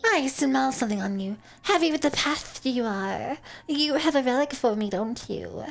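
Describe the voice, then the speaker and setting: A woman's voice: sits high, expressive, creaky. A woman reading out a grave bug relic collector. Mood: solemn. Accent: New Zealand. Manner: slow, measured, solemn delivery.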